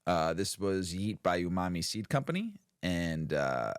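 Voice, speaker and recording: Podcast co-host, male, very low voice, relaxed rambling delivery, dry close recording. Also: measured pace, clean tone, no room sound. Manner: laid-back, rambling, laughs often. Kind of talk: casual stoner podcast chat.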